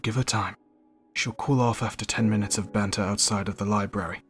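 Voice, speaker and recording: A man plays a calm knight captain in a fantasy audio drama. He speaks courteously in a fairly low voice, with faint music behind. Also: fairly quick, natural voice.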